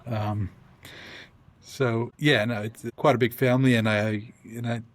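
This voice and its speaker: A man's voice: medium pitch, fairly even intonation, no echo. South African man, dry close sound. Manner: thoughtful, speaks in halting restarts with many ums. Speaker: podcast guest. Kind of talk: conversational interview.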